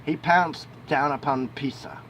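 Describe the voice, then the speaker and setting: A man's voice: steady tone, a little slow, a bit bright. A man reading a historical book aloud as an amateur narrator, with emphatic phrasing and the odd stumble. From United States. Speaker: amateur audiobook reader. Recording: noisy home recording with ambient rumble.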